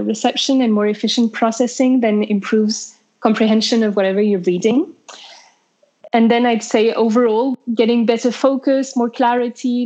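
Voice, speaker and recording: A woman's voice: fairly high pitch, darkish tone. A woman with a French accent, a company representative answering interview questions in an explanatory way, listing points with fillers. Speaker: product representative.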